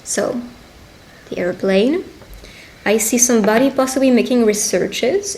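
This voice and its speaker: A woman speaking conversationally and encouragingly as a witch giving a personal love reading, pausing to interpret as she goes. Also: fairly high pitch, a little mellow, rough recording. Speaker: tarot reader and roleplay video host. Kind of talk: intimate roleplay fortune-telling addressed to the listener.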